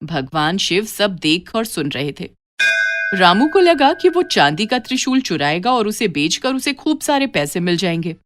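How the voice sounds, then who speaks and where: A woman's voice: mid-range voice, big pitch swings, moderate pace, a little hiss or hum. A woman narrating a scripted moral story for children as a storyteller.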